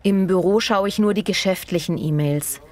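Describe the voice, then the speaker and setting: Actress as practical female survey respondent, lowish register, considered and factual, scripted learner-dialogue delivery. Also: moderate pace, a little mellow, lightly acted. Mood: matter-of-fact. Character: practical working woman stopped for a street survey. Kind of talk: scripted language-lesson dialogue.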